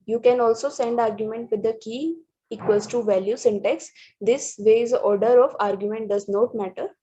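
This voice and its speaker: Woman presenter, husky and mellow, halting slide walkthrough, lo-fi sound. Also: a little high, fairly even intonation. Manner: halting, restarts words, many fillers. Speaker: student presenter. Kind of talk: reading through programming slides. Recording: dull lo-fi recording.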